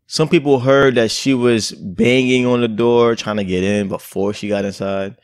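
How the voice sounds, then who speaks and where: A man's voice: mid-range voice, steady tone, moderate pace, smooth, no echo, clean studio sound. A young man co-hosting a podcast in a casual, laid-back, joking chat. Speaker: podcast co-host.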